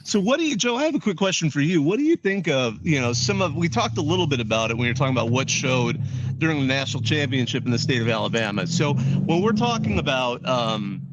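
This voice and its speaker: A man's voice: a little high, background noise. A man hosting a casual live interview, rambling and correcting himself as he asks questions, on dull lo-fi audio. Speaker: show host.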